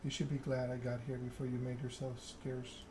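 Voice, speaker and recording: Man voicing a bored, monotone vampire enforcer. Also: mid-range voice, normal speed, natural voice. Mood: calm menace. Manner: calm, slightly bored monotone. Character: tall suave male vampire enforcer, calm, bored, menacing. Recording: clear background ambience noise.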